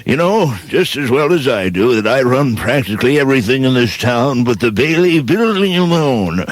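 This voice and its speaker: A man playing a hated, scheming old tycoon in a comedic radio play, gruff and deliberate in his delivery. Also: mid-range voice, sing-song, dry recording, natural voice. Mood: sly, smug.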